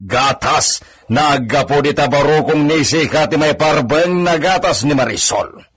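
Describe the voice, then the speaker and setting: A man's voice: fairly high pitch, moderate pace, a bit bright, breathy edge. An actor playing a bossy, imperious hacienda master in a radio drama, commanding and peppering his speech with Spanish words. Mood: stern, commanding. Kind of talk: Ilocano radio drama acting.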